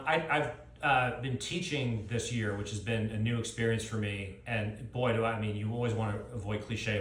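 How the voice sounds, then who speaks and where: A man's voice: lowish voice, fairly bright tone. A middle-aged man talking off the cuff as an author at a live event, reflective and often circling back to rephrase his points. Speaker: author speaking at a book event. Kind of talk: thoughtful extemporaneous talk and audience Q&A. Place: live book event with an audience. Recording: roomy, echoey live event sound.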